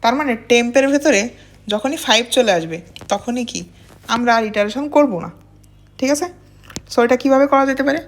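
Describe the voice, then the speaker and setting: A woman's voice: on the high side, fairly quick, pauses often. A woman teaching programming step by step, often checking in with questions and mixing in English technical terms. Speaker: programming instructor. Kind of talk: informal step-by-step tutorial explanation.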